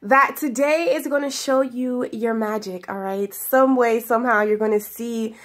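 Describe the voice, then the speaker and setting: A young woman speaks with a slightly high voice that sounds stuffy and nasal from sinus trouble. She gives a spiritual daily message to her viewers, warm in her words, drawn out and giggly in her delivery, and she talks straight to her camera. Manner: affectionate, giggly, drawn-out phrases. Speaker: spiritual guidance vlogger. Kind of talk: casual spiritual talk to viewers. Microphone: camera in front of her.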